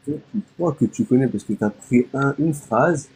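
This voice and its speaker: A man calling into a live debate, talking haltingly with many fillers. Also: medium pitch, gravelly, a little mellow, breathy edge, low-quality recording. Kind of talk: hesitant argumentative chat.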